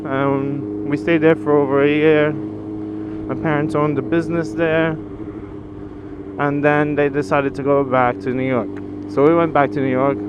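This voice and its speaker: A man from Brazil in his twenties, chatting casually and rambling with fillers while he rides a motorcycle through city streets. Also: on the high side. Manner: rambling, loose, full of fillers and restarts. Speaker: motorcycle vlogger. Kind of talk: casual chat while riding. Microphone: action camera mounted on the motorcycle. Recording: muffled action-cam audio with loud road and engine noise.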